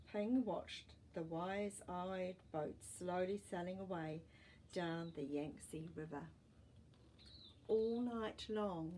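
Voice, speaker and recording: Female storyteller for children's picture books, dark mellow timbre, mid pitch, expressive and deliberate, slight background noise. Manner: deliberate, expressive phrasing for young listeners. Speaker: storytime host reading a children's book. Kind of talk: read-aloud children's storytelling with asides.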